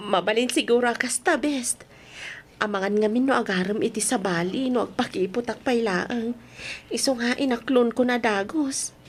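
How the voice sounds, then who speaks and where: A woman's voice: on the high side, unhurried, lightly acted. A woman playing a smitten, giggly young woman in an Ilocano radio drama, speaking coyly. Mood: smitten, earnest.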